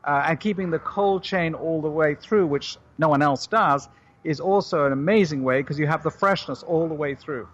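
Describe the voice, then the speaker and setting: A man's voice: fairly high pitch, normal speed. British-accented man on lo-fi radio. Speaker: company CEO guest. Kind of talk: radio interview answers.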